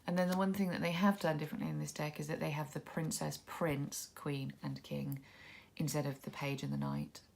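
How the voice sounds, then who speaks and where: A woman's voice: deep voice, fairly quick, vocal fry, slight background noise. A woman with a cold, sniffly and a little congested, musing casually as she walks through a tarot deck. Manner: relaxed, musing, thinking aloud. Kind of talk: casual walkthrough commentary.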